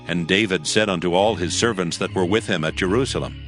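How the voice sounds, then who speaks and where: A man's voice: low voice, brisk. A man narrating scripture from a script in a solemn, formal storytelling manner. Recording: loud music under the voice.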